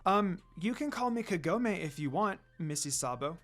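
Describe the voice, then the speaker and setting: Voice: mid-range voice, lively intonation, normal speed, a bit bright, faint music behind, lightly acted. A narrator voicing a caring, earnest young human priestess who asks questions openly. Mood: polite, earnest.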